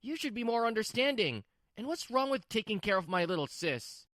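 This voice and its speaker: A woman's voice: on the high side, big pitch swings, fairly quick, fairly bright tone, no room sound, studio quality. A woman voicing a stubborn, brusque husband who dotes on his little sister, in a scripted manga dub. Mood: defensive, irritated. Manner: brusque, defensive.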